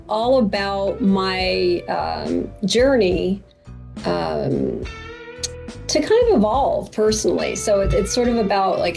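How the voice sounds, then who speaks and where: Gravelly, low-pitched middle-aged American woman. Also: darkish tone. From Boston, United States. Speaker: author, interview guest. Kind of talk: reflective interview talk.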